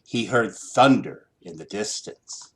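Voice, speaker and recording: A man narrates a children's picture book with theatrical expression. His pitch swoops widely, and he takes his time with deliberate, slow phrasing. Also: animated. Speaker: storybook reader.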